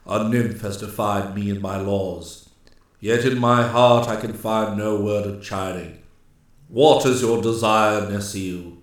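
Storybook forest king: old, stern, grave immortal with deliberate, commanding delivery, played by a male narrator. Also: fairly low pitch, fairly even intonation, very echoey, distant mic, close to an everyday voice. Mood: grave, gentle.

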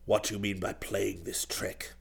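A storybook old English duke, as a male narrator puts him on, pitched very low. He speaks with clipped, pompous formality and stays icily polite while bristling with offended dignity. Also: flat delivery, normal speed, gravelly, slightly rough, whispered, full character voice. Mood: stern displeasure. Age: older.

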